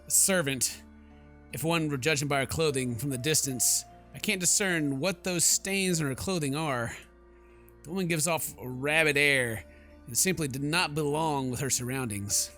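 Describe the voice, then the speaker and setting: A man's voice: fairly high pitch, normal speed, sharp tone, soft background music. A man doing let's play commentary, reading game text aloud dramatically and stumbling now and then. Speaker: gaming YouTuber.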